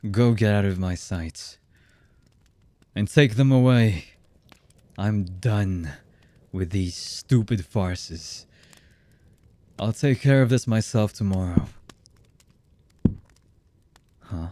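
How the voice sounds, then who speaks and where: A male voice actor playing a cold, menacing, commanding young anime villain in an ASMR roleplay, with a husky voice and a deliberate delivery. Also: fairly low pitch, warm tone, dry recording. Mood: impatient anger. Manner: slow, menacing, deliberate with long pauses.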